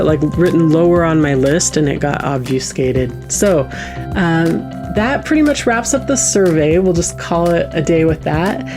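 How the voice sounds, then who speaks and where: A woman hosting a podcast, speaking casually and candidly straight to her listeners. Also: low-pitched. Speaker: podcast host. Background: music.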